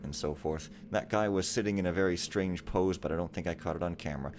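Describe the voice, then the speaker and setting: A man's voice: deep voice, quick pace, a little mellow, slight background noise. A young man giving casual, wry, rambling commentary over a game he is playing. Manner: rambling, wry, sometimes reads game text aloud dramatically. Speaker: let's play commentator.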